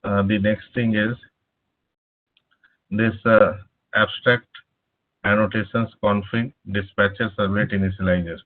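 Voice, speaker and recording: A man teaching a live online programming class over a speakerphone, pausing often with "uh". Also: fairly low pitch, level tone. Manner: halting, many filler "uh"s. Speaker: programming instructor. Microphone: laptop speakerphone, no headset. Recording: tinny phone-like line.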